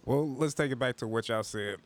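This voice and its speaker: A man with a mid-range voice co-hosts a podcast, his pitch moving expressively as he asks guests relaxed, curious questions. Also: unhurried, creaky. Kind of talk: interview questions.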